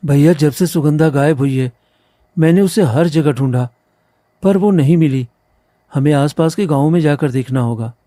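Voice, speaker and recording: A man's voice: fairly high pitch, normal speed, warm tone, dry recording, close to an everyday voice. A man voicing a loyal young villager and younger brother in a cartoon story, earnest and pleading. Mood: distressed. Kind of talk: Hindi cartoon story acting.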